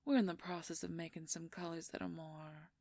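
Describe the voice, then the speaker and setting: A woman playing a smug, possessive royal werewolf schemer in an audio roleplay, silky and condescending, with a husky, breathy, creaky near-whisper. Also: sits low, little pitch movement, darkish tone, no room sound. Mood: smug, courting. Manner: silky, condescending, slow and deliberate. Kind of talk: audio roleplay acting, addressing the listener.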